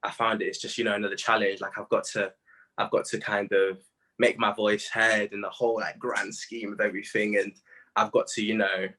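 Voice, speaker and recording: A young British man with a low-pitched, bright and forward voice. He chats casually, like a musician in an interview, using slang freely and reflecting candidly on himself. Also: flat delivery, a little fast, rough recording. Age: late teens.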